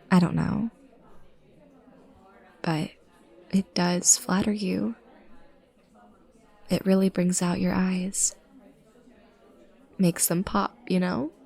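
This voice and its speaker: An actress voices a bashful, adorkable young woman in an intimate roleplay audio drama. Her voice is mid-pitched with plenty of vocal fry. She takes her time, rambling softly and second-guessing herself in a sweetly self-conscious way. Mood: shy, tentative. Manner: hesitant, self-conscious, soft-spoken rambling. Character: shy, adorkable young female stranger, awkwardly sweet overthinker.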